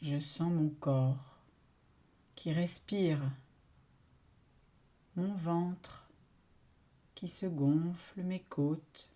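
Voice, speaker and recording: A woman leading a guided relaxation meditation in a calm, soothing manner, speaking in short phrases with long pauses. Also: fairly low pitch. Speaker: yoga nidra meditation guide.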